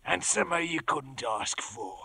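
An actor playing a sly, menacing pirate leader in a radio drama, with rustic, ungrammatical speech and theatrical threats. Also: male voice, mid-range voice, expressive, slow, gravelly, bright, forceful tone, breathy edge, heavily acted. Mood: bargaining. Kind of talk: 1950s radio drama acting. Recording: old 1950s radio broadcast, music behind.

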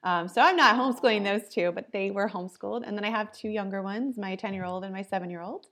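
A woman in her forties from Ohio, a podcast guest telling her story in a relaxed, chatty way with many ums and likes. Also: medium pitch, fast. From Ohio, US Midwest. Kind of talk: casual interview chat.

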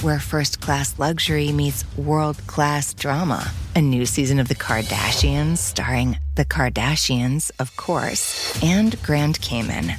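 Chatty ad voice-over over prominent music. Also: mid-range voice. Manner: chatty, promotional. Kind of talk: advertisement voice-over.

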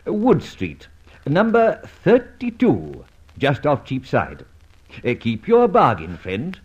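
A debonair rogue of an old radio adventure serial, teasing and self-assured. His slightly husky voice swoops dramatically through polite, drawling taunts. The recording is muffled and vintage. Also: medium pitch, huge pitch swings, slow pace, a little mellow, full character voice. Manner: suave, teasing, theatrically polite, hesitant drawl.

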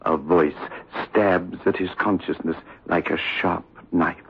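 An actor playing a macabre horror-show host, narrating 1960s radio horror with ominous, drawn-out deliberation. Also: male voice, low-pitched, fairly even intonation, gravelly, a crowd in the background. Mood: ominous narration. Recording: tinny old radio recording.

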